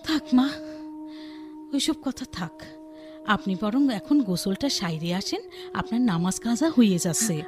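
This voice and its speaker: An actress playing a gentle, dutiful village daughter-in-law and mother in a Bengali radio drama, speaking earnestly with a rural Bangladeshi accent. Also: mid-range voice, animated, normal speed, long pauses, over music, lightly acted. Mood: gentle, pleading.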